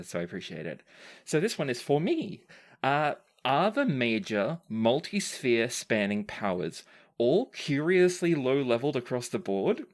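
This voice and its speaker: A podcast host and game master with a playful, wry manner, chatting casually and reading out listener questions. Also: mid-range voice. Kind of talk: casual Q&A chat.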